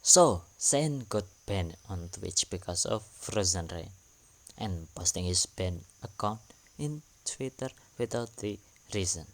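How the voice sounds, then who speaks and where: Brief: male recap narrator, low and unhurried, matter-of-fact delivery. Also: animated. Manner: plain, matter-of-fact, broken English. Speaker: channel narrator.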